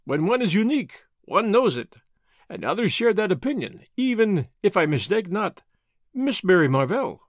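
A male narrator puts on a fussy little Belgian detective with a French accent, pompous and sure of his own genius. The voice sits high and swings freely in pitch. It is recorded close and dry, with no room sound. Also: big pitch swings, moderate pace, no echo. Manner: pompous, precise, self-satisfied. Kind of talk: audiobook character voice.

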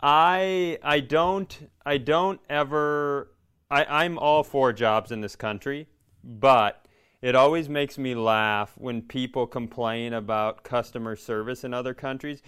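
Man, podcast co-host, crisp resonant voice, medium pitch, opinionated, sweary, casual comic banter. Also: steady tone, slow pace, fairly bright tone. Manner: opinionated, profane. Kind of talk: casual comedic banter and ranting.

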